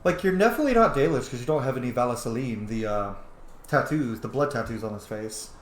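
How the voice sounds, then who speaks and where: A man's voice: mid-range voice, animated, quick pace. A man giving casual, jokey roleplay commentary as he streams a game, swearing freely. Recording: roomy, echoing sound.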